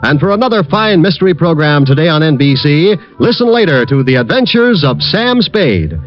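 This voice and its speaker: A male announcer reads the credits in a smooth, formal delivery. His voice is fairly high, with a lively, sweeping lilt, and the old broadcast sounds muffled with faint music underneath. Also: normal speed. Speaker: radio announcer. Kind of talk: scripted announcement. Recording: muffled vintage radio broadcast.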